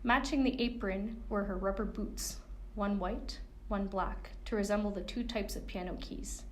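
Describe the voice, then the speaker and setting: A woman's voice: mid-range voice, steady tone, moderate pace, a little hiss or hum. A woman reading a first-person coming-of-age novel excerpt as narrator. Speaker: reader of a book excerpt.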